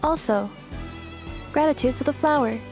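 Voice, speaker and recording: Literal robot girl in a game, highish voice, stiff formal deadpan, bit of music. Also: moderate pace. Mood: calm, pensive. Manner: clipped, formal, deadpan machine-like phrasing. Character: polite literal-minded female robot, searching for her self. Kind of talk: video game voice acting.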